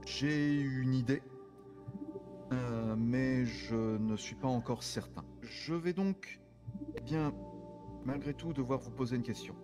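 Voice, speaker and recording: A man playing a formal, halting investigator, music behind. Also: medium pitch, natural voice. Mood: careful, grave. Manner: measured, halting, formal phrasing. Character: male investigator, formal, methodical, hesitant and tactful. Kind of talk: improvised video game roleplay dialogue. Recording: music over the voice.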